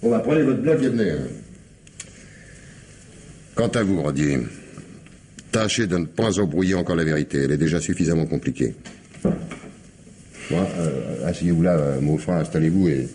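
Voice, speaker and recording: Radio crime drama: wry, insistent commissioner, deep voice with lively swoops, theatrically hesitant interrogation. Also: male voice, normal speed, long pauses, slight background noise. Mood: brisk authority. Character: shrewd, sardonic male police commissioner interrogating a suspect. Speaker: actor in a radio mystery.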